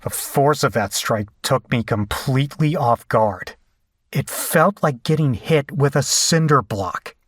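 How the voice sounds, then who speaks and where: A man acting a slow-spoken, haunted horror captive. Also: mid-range voice, a bit bright, no echo, studio quality. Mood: grim, haunted recounting. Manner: deliberate, dramatic storytelling with hesitant, pained dialogue. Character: frightened, battered man held captive, recounting his ordeal. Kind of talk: first-person horror audio drama narration and acted dialogue.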